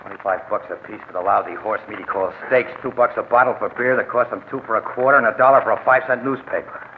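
An actor playing a hard-boiled, vain American gangster in a crime radio drama, talking in clipped underworld slang. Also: male voice, fairly low pitch, fast, natural voice. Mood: sullen, scheming. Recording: tinny, hissy old radio broadcast.

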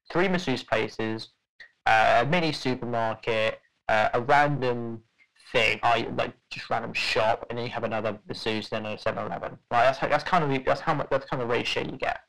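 A man's voice: mid-range voice, gravelly, fairly bright tone, poor audio. A young man telling a story in casual podcast chat, rambling with lots of fillers and restarts.

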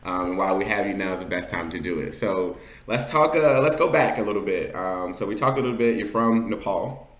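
A man's voice: fairly low pitch, expressive, a little fast. A man hosting a casual interview in an encouraging, affirming manner, with roomy sound. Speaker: interview host.

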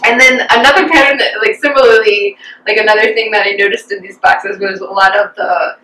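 Bright-voiced young woman telling stories. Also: mid-range voice, fairly even intonation, brisk. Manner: chatty and reflective, with frequent fillers. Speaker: interview guest. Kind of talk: casual personal storytelling. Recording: roomy echo, distant from the mic.

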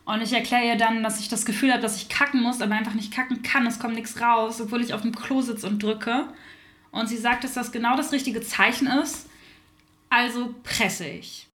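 A woman's voice: a little high, fairly even intonation, normal speed, a bit bright. A woman telling a scripted first-person account in a plain, frank way. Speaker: performer in an educational audio play.

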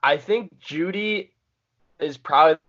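Measured man chatting sports on a podcast. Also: fairly high pitch, fairly bright tone, dull audio. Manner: measured, conciliatory, frequent restarts. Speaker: podcast co-host. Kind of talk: casual sports debate.

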